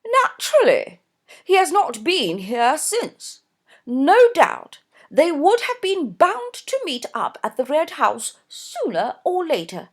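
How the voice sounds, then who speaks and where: A woman voicing a fussy, emphatic middle-aged mother with insistent, high-pitched exclamations. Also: wildly swooping pitch, slow, full character voice.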